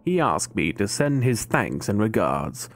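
An actor playing a polite older butler in an audio drama, formal and courteous in manner. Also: male voice, lowish voice, big pitch swings, measured pace.

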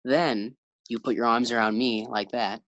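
A woman's voice: a bass voice, fairly even intonation, normal speed, no room sound, lo-fi sound. A woman playing an eager, bumbling young husband in a 1940s-style radio comedy. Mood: playful. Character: young husband George, eager bank clerk.

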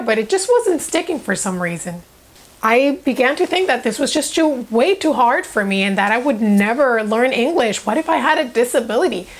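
A young woman from Cuba and South Florida, a native Spanish speaker, telling a personal story to camera in an earnest, emphatic way. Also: fairly high pitch. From Cuba, later South Florida. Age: young adult. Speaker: presenter of a personal video channel. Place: small furnished room indoors.

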